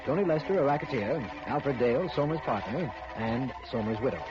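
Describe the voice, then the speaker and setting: Male actor as formal district attorney, dry wit, mid pitch, very varied intonation, vintage radio drama. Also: lively intonation, a little fast, music in the background, lightly acted. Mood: measured, official. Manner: formal, dryly wry. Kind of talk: 1940s radio drama acting and narration. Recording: muffled, noisy old radio recording.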